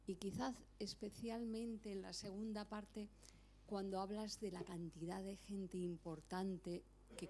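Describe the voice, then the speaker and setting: A woman's voice: lowish voice, a little mellow, a little hiss or hum. Older lady in the audience asking a question, friendly, bit hesitant, lots of ems and ehs. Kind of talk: casual question from the floor.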